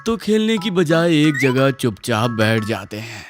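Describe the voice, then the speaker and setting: A woman's voice: very deep voice, sing-song, unhurried, soft background music. A woman narrating a scripted children's story.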